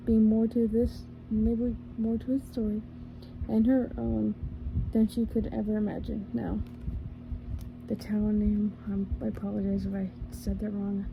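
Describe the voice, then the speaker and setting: A woman reading a storybook aloud in a soft ASMR style, slowly and carefully, sometimes slipping into a whisper and correcting small slips as she goes. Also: on the high side, noisy background. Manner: drops to a whisper at times. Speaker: ASMR reader.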